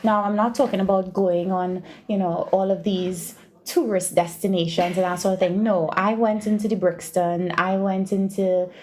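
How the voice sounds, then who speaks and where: A woman's voice: mid-range voice, steady tone, moderate pace, few pauses, a little mellow, slight background noise. A woman from Trinidad and Tobago with a Trinidadian accent, answering interview questions conversationally and repeating phrases for emphasis. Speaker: podcast guest, doctoral researcher and university teacher.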